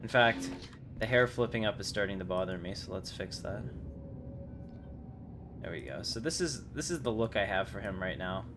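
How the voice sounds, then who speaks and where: A man's voice: lowish voice, animated, normal speed, pauses often. A man giving casual, chatty game livestream commentary, rambling along in slangy talk. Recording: clearly noisy livestream audio.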